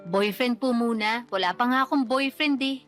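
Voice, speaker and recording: Actress as a high-voiced, plaintive Filipino daughter. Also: female voice, moderate pace, fairly bright tone, close to an everyday voice. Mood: mock annoyance. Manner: respectful, plaintive, protesting when teased. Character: single, marriage-eager eldest daughter, sweet and whiny. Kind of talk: Filipino radio drama acting. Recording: music bed under the voice.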